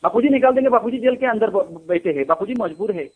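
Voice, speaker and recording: A man with a high voice argues on a recorded phone call. He is a native Gujarati speaker. He scolds and presses, repeating the same challenge again and again in a heated way. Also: animated, quick pace, breathy edge. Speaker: devotee of a guru, arguing on a phone call.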